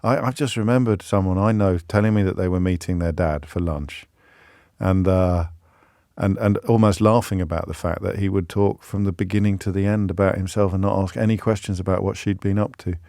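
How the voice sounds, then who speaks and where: A man's voice: deep voice, steady tone, a little fast, mellow, dry recording, clean studio sound. A man hosting a radio phone-in, talking to callers in a conversational, probing way with frequent restarts. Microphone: studio microphone.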